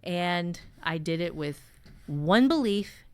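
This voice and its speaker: A woman's voice: lowish voice, expressive, slow, darkish tone, smooth. Female workshop teacher and co-host; conversational, explanatory, stresses and repeats key points. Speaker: fiction writing teacher and co-host.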